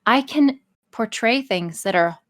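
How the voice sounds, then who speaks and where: A woman's voice: fairly high pitch, lively intonation, unhurried. A woman voice actress chatting casually and candidly as an interview guest, frank, self-deprecating and free with swearing. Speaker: voice actress and audio creator being interviewed.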